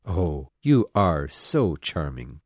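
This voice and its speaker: A woman voicing a pompous, self-satisfied young male clergyman who proposes, speaking in a slow, smug, insistent way. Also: very deep voice, big pitch swings, a little mellow, no room sound, clean studio sound, pitched below the performer's own voice, heavily acted. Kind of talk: storybook character voice.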